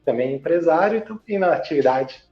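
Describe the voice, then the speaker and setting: A man from Santa Catarina, Brazil, a businessman and journalist, answering interview questions in a measured, explanatory way and pausing to find his words. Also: a little high, expressive, soft background music. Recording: echoey, dull lo-fi sound.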